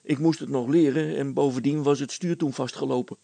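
A man's voice: medium pitch, steady tone, a little fast, creaky, warm tone, dry recording, pitched above the performer's own voice. A man voicing an inventor's young son in a storybook, candid, eager and matter-of-fact.

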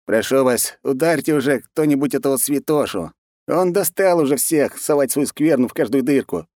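A man's voice: medium pitch, expressive, normal speed, darkish tone, dry recording, clean studio sound, voice pushed up, close to an everyday voice. A man voicing a blunt, matter-of-fact soldier in a fantasy audiobook. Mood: exasperated. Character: blunt, exasperated soldier, a commander's comrade.

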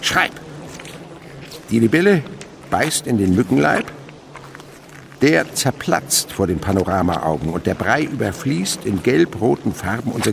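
An actor playing an ageing, dreamily enthusiastic insect researcher in a German radio play, speaking in a scholarly, lyrical and patiently instructive way. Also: male voice, on the low side, gravelly, slight background noise, full character voice. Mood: excited, dictating.

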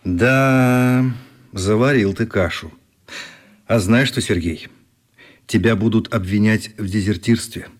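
An actor playing a kindly, curious grown man in a radio play, talking informally and gently probing. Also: lowish voice, takes it slowly, long pauses. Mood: frank, questioning.

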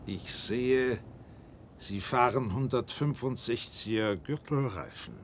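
An actor playing an earnest, old-fashioned reservation dweller in a science-fiction radio drama, speaking formally and deliberately, like a guide explaining things. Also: male voice, medium pitch, a bit bright, slight background noise. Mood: guarded, matter-of-fact.

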